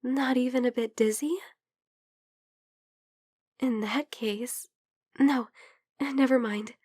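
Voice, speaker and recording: A shy young vampire girl, acted by a woman in an intimate ASMR roleplay. Her voice is high, soft and breathy, often falling to a whisper. She speaks slowly and hesitantly, tender and hungry, very close and dry. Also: fairly even intonation, no echo. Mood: bashful, confessing.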